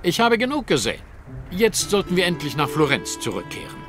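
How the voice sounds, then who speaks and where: An actor playing a cool, analytical and self-assured detective in a German audio drama, with precise, formal diction. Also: male voice, a little high, huge pitch swings, a little fast, over music. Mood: observant, assured.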